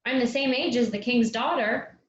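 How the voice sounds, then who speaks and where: A woman's voice: fairly high pitch, normal speed, close to an everyday voice. A woman playing an eager, innocent young son in a theatrical staged reading. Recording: roomy, dull, faintly noisy sound.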